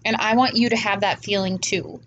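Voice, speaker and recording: A woman with a stuffy, congested voice from a cold hosts a solo podcast. She talks in an earnest, chatty, personal way at a middling pitch. A faint background noise sits under her voice. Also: fairly even intonation, a little fast, fairly bright tone, highs cut off. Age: adult parent. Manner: earnest, chatty, laughs at herself. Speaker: podcast host. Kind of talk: casual solo podcast talk.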